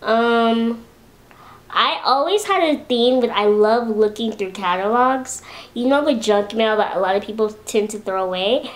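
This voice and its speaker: Chatty young woman with a high-pitched voice. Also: unhurried. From Los Angeles, USA. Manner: chatty, relaxed, with many fillers and restarts. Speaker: beauty vlogger. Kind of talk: casual chat to camera.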